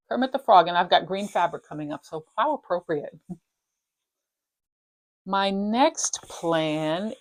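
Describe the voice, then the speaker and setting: A woman talks through her stitching projects in a casual, rambling way, often breaking off mid-thought. Her voice sits a little low and has a slight rasp from a dry throat. She speaks at home in her craft room. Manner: chatty, rambling, self-interrupting. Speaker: flosstube host. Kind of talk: casual show-and-tell chat.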